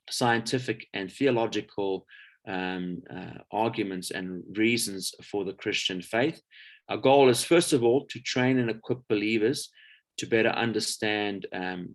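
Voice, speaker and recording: A man delivers an informal lecture in a low, easygoing voice. He talks in a relaxed, chatty way and fills his pauses with little hesitation sounds. He is heard over a video call. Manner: relaxed and chatty, with frequent um fillers. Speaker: guest speaker, apologetics lecturer.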